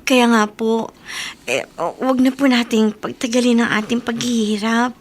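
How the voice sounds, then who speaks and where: A woman plays an anxious younger sister in a radio serial. Her high voice is earnest and emotive, pleading as she speaks. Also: normal speed, natural voice. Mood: anxious, polite. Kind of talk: Filipino radio drama acting.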